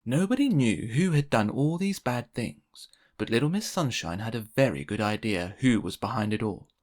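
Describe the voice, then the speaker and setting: A man reads a children's story aloud in a mid-pitched voice. His narration is expressive and very animated, with pitch that moves freely. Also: moderate pace. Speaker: storybook reader.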